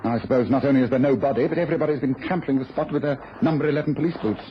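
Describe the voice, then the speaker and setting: A sardonic, incisive English gentleman detective played by a man in an old British radio play. He talks fast and questions sharply, with faint impatience. The recording is dull and a little noisy. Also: mid-range voice, close to an everyday voice. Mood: irritated. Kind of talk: vintage British radio drama acting.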